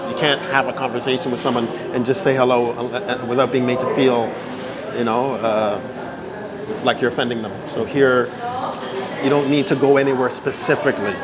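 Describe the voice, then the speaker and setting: A man's voice: mid-range voice, steady tone, a little fast, over a noisy crowd. A man from Canada in his fifties, answering interview questions at length in a casual way and often checking for agreement. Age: 50s. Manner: talkative, repeats "right?" for agreement. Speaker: interview guest.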